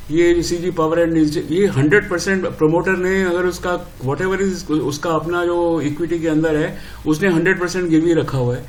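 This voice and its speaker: An Indian man giving an informal, opinionated explainer as an educator, sounding exasperated and repeating himself with rhetorical questions, switching between two languages. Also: fairly high pitch. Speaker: stock market educator. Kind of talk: informal explanatory commentary with Hindi-English code-switching.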